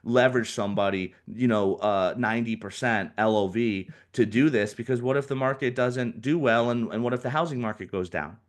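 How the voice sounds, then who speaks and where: This is a man in his forties, a financial advisor talking on a casual podcast. His voice sits at an ordinary middle pitch. He talks with energy and slips into quick impressions of the people he quotes. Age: 40s. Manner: animated, acts out quoted people in put-on voices.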